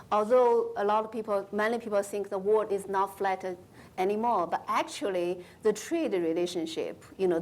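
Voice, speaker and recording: A woman moderating a panel, putting questions to a guest with halting, searching phrasing. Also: mid-range voice.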